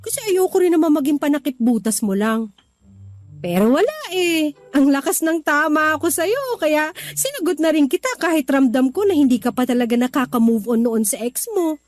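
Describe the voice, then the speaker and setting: A woman's voice: high-pitched, animated, moderate pace, darkish tone, faint music behind. A woman voicing a young woman earnestly confessing her long-held love, in radio drama style. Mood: tender. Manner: tender, earnest.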